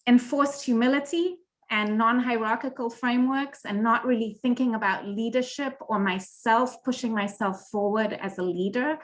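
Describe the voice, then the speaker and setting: Animated woman panelist. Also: on the high side. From Kenya and Tanzania. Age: middle-aged. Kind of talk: panel discussion.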